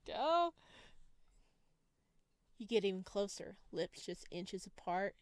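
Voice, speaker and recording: A woman's voice: medium pitch, dry recording. A woman doing a visual novel let's play, reading the text aloud with giggly, gushing, exclaiming reactions. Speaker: gaming video host.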